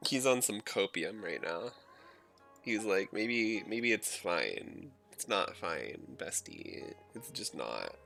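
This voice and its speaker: A man's voice: fairly low pitch, very animated, takes it slowly, creaky, pushed and bright. A man streaming a game with casual commentary. He is slangy and teasing as he reacts. Game music plays behind his voice.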